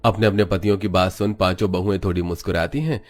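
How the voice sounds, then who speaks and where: A man reading scripted narration for an animated family story. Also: deep voice, quick pace, faint music behind.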